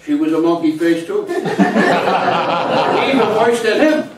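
A man's voice: fairly high pitch, animated, unhurried. An elderly actor playing a teasing, wisecracking grandfather in a live comic stage play. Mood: gleeful storytelling. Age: 70s. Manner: wisecracking storyteller. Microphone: distant camera. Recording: echoey small theater, distant camera, hiss.

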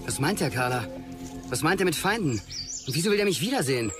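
A restless young man in a dystopian audio drama. His delivery is animated and swings widely in pitch. Music plays clearly behind the voice. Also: fast talker. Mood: edgy. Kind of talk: German sci-fi audio drama acting.

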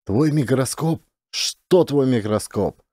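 Casting: male narrator as concerned, baffled young officer, sci-fi audiobook, worried questions, mellow timbre. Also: mid-range voice, animated, takes it slowly, husky, no echo, clean studio sound. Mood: puzzled. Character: anxious, puzzled, concerned male lieutenant.

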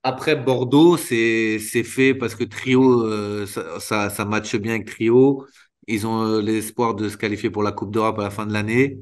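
A man in his thirties, a former footballer, talking candidly in an interview over a video call, restarting sentences and filling pauses often. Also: on the low side, steady tone. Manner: spontaneous, halting, many restarts and fillers. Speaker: retired footballer guest. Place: small room indoors. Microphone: video call webcam.

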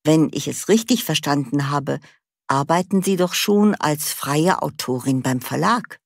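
A woman's voice: deep voice, measured pace, creaky, no echo, clean studio sound, exaggerated voice. A woman reading the part of a polite, formal job interviewer in a scripted dialogue for language learners.

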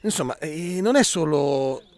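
A man's voice: on the high side, expressive, unhurried, a little mellow. A man, hosting a playful interview outdoors with teasing, chatty questions. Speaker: radio interviewer, host. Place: outdoors in an allotment garden.

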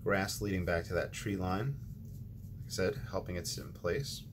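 A man's voice: low-pitched, moderate pace, noisy background. A man hosting a watercolor painting tutorial, talking casually as he paints with frequent ums and restarts. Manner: relaxed, thinking aloud with ums and restarts.

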